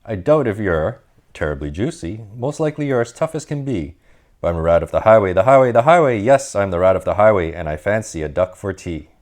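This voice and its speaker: Storybook villain: a greedy swaggering rat robber, bellowing, deep, read by a man. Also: lively intonation, fairly quick, darkish tone, close to an everyday voice. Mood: boastful. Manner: boastful, bellowing demands.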